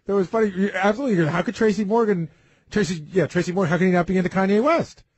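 Fast-talking husky man telling stories. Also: high-pitched, dry recording. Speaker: radio show staffer on a talk panel. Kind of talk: casual chat and anecdotes.

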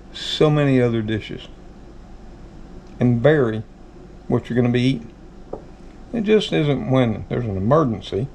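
Folksy Southern man with gravelly voice. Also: medium pitch, a little mellow, a little hiss or hum. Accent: Southern American. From American South. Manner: folksy, addresses viewers as folks. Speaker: channel host. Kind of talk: casual how-to talk. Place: home kitchen.

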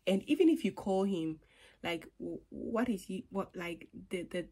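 A woman's voice: medium pitch, lively intonation, measured pace, mellow, husky. A young woman, talking casually to a phone camera in her small bedroom, sometimes sinking to a whisper. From Ghana. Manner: chatty, drops to a whisper at times, laughs between points. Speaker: vlogger. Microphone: phone camera on a table.

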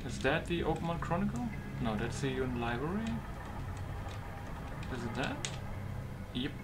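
A man talks casually through a video game, thinking aloud in half questions. His voice sits at an ordinary pitch, with loud game ambience behind it. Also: big pitch swings, unhurried. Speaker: video game player commentating. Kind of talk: casual gameplay commentary. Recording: loud game noise underneath.

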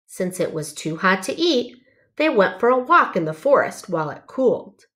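A woman's voice: mid-range voice, very animated, normal speed, fairly bright tone. A woman narrating a children's storybook, reading aloud carefully and expressively.

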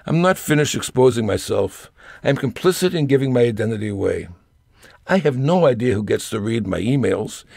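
An older man narrates his own personal essay. His voice sits in a middle register and has a gravelly creak. He reads with wry grumbling, and the recording is very dry, with no room sound. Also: medium pitch, a little mellow, dry recording. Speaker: storyteller reading own essay.

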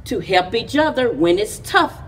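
A middle-aged woman with a slightly husky voice reads a children's picture book aloud expressively, phrasing deliberately with pauses between short lines. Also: fairly high pitch, animated, a little hiss or hum. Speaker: library story time reader. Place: indoors, in front of a library chalkboard wall. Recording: distant camera microphone.

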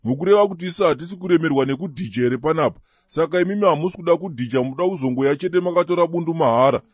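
A man's voice: fairly high pitch, rapid-fire, breathy edge, no room sound, studio quality. A man with a Zimbabwean accent playing a boastful, slangy gravedigger who poses as a big spender in a comedy cartoon. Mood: irritated, boastful. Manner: bragging, slangy.

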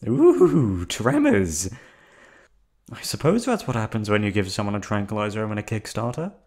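A man voicing a calm, unhinged yandere receptionist who has kidnapped the listener, in an ASMR audio drama. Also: fairly low pitch, moderate pace. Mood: menacing. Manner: soft, coolly reasoning, menacing. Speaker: voice actor.

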